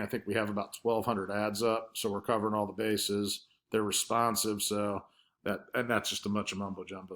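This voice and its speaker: A man's voice: on the low side, a bit bright. A man explaining marketing results in an informal, conversational screen-share presentation, feeling his way hesitantly through his points.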